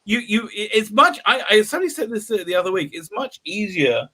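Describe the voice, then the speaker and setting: High, bright-voiced man stumbling through restarts. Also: lively intonation. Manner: halting, many restarts, laughs between points. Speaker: interviewer. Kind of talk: casual interview chat.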